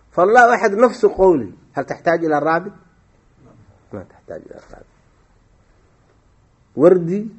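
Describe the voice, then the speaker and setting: A husky-voiced man teaching a grammar class, his pitch rising and falling a lot as he explains. He keeps checking on his students with quick questions and repeats key phrases slowly. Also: darkish tone.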